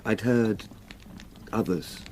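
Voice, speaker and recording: An actor playing a shaken, stubborn young solicitor in a radio drama, hesitant yet formal and courteous. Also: male voice, lowish voice, steady tone, takes it slowly, darkish tone, a little hiss or hum. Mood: shaken, earnest. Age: young adult.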